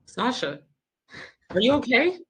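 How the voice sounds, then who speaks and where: A woman's voice: a little high, sing-song, measured pace, fairly bright tone, husky, exaggerated voice. A woman playing a caring, reassuring nurse on evening rounds in a dramatic table read. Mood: concerned.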